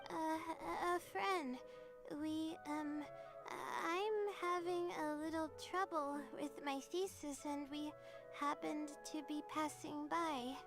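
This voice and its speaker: A woman's voice: sits high, steady tone, slow, over music. A woman voicing an anxious, timid young female student in an anime-style game, speaking in a hesitant, apologetic, bewildered way. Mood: nervous, bewildered.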